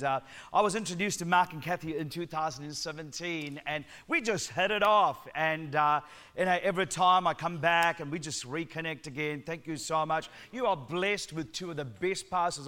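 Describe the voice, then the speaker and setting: A man with a Sri Lankan accent mixed with New Zealand, preaching a lively, joking sermon in church and calling on the congregation to respond. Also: high voice. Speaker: guest preacher.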